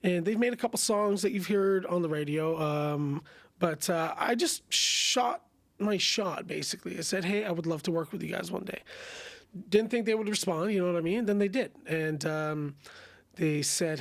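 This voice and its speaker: A young man with a raspy voice, chatting casually and animatedly as a singer guest. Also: high voice, no echo. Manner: casual, animated, says bro and man a lot. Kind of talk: casual chat.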